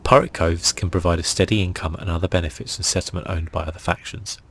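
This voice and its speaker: A man hosting a gaming channel, speaking in a soft, hushed, relaxed ASMR style as he comments and reads aloud close to the mic. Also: fast talker. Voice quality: hushed, breathy, creaky. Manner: relaxed, low-key.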